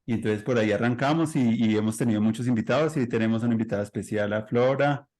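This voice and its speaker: A man's voice: medium pitch, quick pace, darkish tone. A man hosting a conversational podcast, introducing his guest in a loose, rambling way. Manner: rambling, loose, with fillers and restarts. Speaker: podcast host. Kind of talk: casual interview intro.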